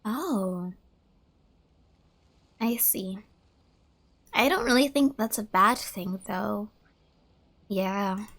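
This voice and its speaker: A woman's voice: mid-range voice, expressive, drawn-out words, pauses often, fairly bright tone. A young woman streamer giving casual, chatty gameplay commentary, rambling and musing aloud. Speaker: game streamer. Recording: game music behind the voice. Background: game music.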